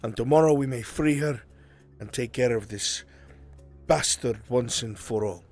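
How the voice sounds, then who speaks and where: Grave, commanding male conspirator played by a man briefing hired agents in fantasy roleplay; mid-pitched, deliberate, music underneath. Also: a little mellow. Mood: urgent, determined. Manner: deliberate, commanding, gives instructions. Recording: music behind the voice. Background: background music.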